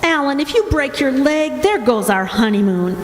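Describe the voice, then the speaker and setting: An actress playing an anxious young newlywed wife in a radio drama, chiding and pleading with exasperation. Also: female voice, high-pitched, expressive, moderate pace. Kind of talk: amateur radio drama acting. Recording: echoey hall, some background noise.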